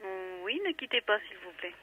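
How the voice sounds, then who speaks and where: A woman's voice: medium pitch, expressive, normal speed, no room sound. A woman answering the phone politely as a switchboard operator. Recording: very noisy phone line.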